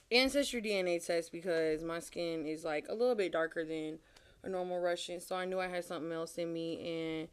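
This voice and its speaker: Smooth-voiced young woman guest. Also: lowish voice. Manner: relaxed storytelling, casual swearing. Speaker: podcast guest. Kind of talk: casual chat interview.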